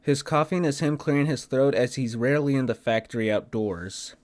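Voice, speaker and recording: A man's voice: medium pitch, brisk, a little mellow. A man giving scripted narration as a voice actor presenting his own work. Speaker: voice actor and video creator. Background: music.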